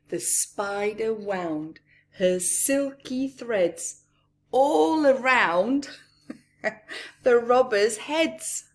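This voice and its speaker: A woman reading a picture book aloud to young children, expressively, with asides to her listeners and hushed whispers. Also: a little high, expressive, a little hiss or hum. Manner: acts out characters, pausing for asides to listeners. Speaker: children's story reader.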